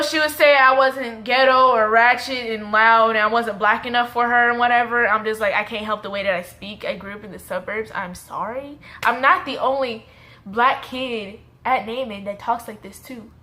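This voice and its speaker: Bright chatty woman in her twenties. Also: high voice. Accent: suburban Texas. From Dallas suburbs, Texas. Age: 20s. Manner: chatty, rambling, self-correcting with soft laughs. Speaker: vlogger. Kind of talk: casual storytime to camera.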